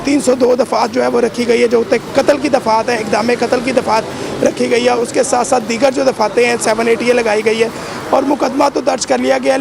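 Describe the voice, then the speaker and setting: A man's voice: very high-pitched, vocal fry. A man reporting live outdoors with a sore throat, rambling with many fillers. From Pakistan. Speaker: field reporter. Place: outdoors by a street. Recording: noisy outdoor street with traffic.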